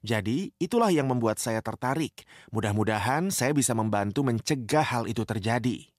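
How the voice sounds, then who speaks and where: A man's voice: mid-range voice, animated, moderate pace, dry recording, studio quality. A man reading a translated interview quote as a news voice-over.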